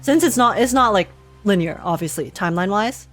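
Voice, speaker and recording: Casting: female game streamer, mid-pitched, chatty and excitable, casual slangy reactions with music underneath. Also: brisk. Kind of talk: casual gaming livestream commentary.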